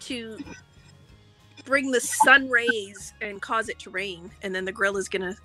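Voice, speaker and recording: A woman's voice: mid-range voice, bright, forceful tone, faint music behind, poor audio. A middle-aged Canadian woman, a guest business owner, playfully arguing her side in a silly game over a video call. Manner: playful, slow and deliberate. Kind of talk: casual game chat. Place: living room at home.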